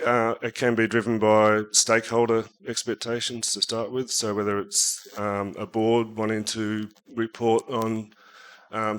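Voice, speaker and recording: A hoarse man, answering as a panelist in a measured, steady way with frequent ums. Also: lowish voice. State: hoarse, losing his voice. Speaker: panelist, advisor on sustainability reporting.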